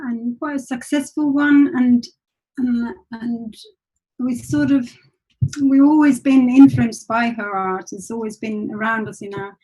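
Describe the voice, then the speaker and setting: A woman's voice: fairly high pitch, darkish tone, poor audio. A woman from Finland with a Finnish accent, casually recalling her own path as an interview guest in a reflective, hesitant way. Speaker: interview guest, animator and illustrator. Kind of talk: casual personal recollection.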